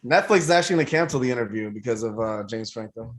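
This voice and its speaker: A man's voice: medium pitch, lively intonation, quick pace. A man on a video call, casually telling a jokey story in a laid-back way.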